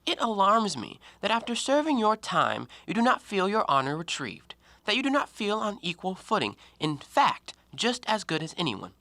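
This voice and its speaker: An actor playing a blunt, self-assured, observant intellectual in an audio play, speaking in an emphatic, accusing way. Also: male voice, sits high, wildly swooping pitch, moderate pace, few pauses, pushed and bright, no echo, heavily acted. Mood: reproachful. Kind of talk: stage-play dialogue in an audio drama.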